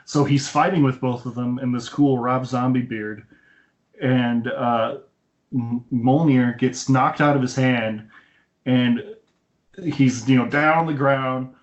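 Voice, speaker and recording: A man's voice: mid-range voice, fairly even intonation, takes it slowly. A man casually chatting as a podcast host, talking like an enthusiastic movie fan over an internet call. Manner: enthusiastic fan, acts out quoted lines. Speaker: film review podcast host. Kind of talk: casual chat, recounting a film's plot. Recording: dull lo-fi internet call audio.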